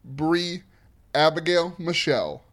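A man's voice: a little high, animated, slow, a bit bright. Emphatic, opinionated male podcast co-host. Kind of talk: casual chat.

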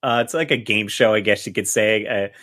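A man's voice: lowish voice, brisk, fairly bright tone. A man chatting casually as a podcast host in an easygoing manner.

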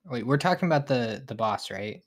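A man's voice: mid-range voice, animated. A man co-hosting a podcast who talks casually, packing his speech with fillers and laughter. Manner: slow, filler-heavy, laughing. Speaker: podcast co-host.

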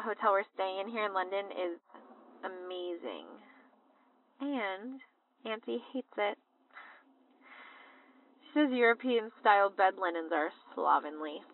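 An actress playing a chatty, excitable young American little sister leaving a rambling voice message in an audio drama, through a phone filter. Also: female voice, mid-range voice, vocal fry, slight background noise. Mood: amused. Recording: tinny phone-like line.

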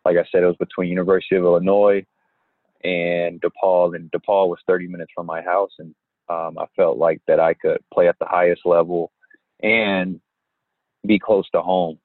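A young man and basketball player from Indiana who answers interview questions in an unhurried way, with many pauses. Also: deep voice, little pitch movement, dry recording. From Gary, Indiana. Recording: tinny phone-like line.

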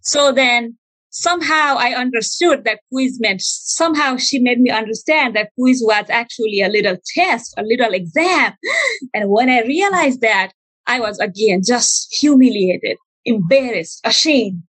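Earnest woman, fairly high voice. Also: expressive, fairly bright tone, husky, dry recording, poor audio. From Nepal. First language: Nepali. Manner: earnest and reflective, with frequent pauses and fillers. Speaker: speaker sharing a personal testimony. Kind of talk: personal storytelling talk.

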